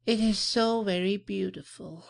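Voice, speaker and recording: A man voicing a gentle, wistful blind woman of a country house in an audiobook, speaking softly and slowly. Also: fairly high pitch, wildly swooping pitch, voice pushed up, full character voice. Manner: soft, slow and wistful. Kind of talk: audiobook character voice.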